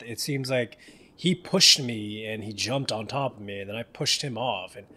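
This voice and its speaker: A young man casually commenting on a game he plays, animated, joking, swearing and rambling. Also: medium pitch, slight background noise. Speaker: gaming video host. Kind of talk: casual let's play commentary. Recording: voice over game audio with music.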